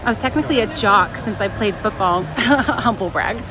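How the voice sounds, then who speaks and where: A woman's voice: mid-range voice, lively intonation, moderate pace, music in the background, crowd noise. A woman chatting casually on a livestream, playful and teasing, often breaking off and restarting her thoughts as she answers viewers. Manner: playful, teasing, self-interrupting. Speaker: live streamer reading and answering chat. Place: outdoors in a busy theme park. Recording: noisy outdoor park ambience. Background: outdoor park ambience.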